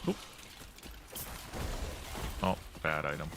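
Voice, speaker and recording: Chatty male gamer, lively pitch. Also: slow pace, vocal fry, noisy background. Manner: rambling, thinking aloud, reacting to chat. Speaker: streamer playing a game. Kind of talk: casual gameplay commentary.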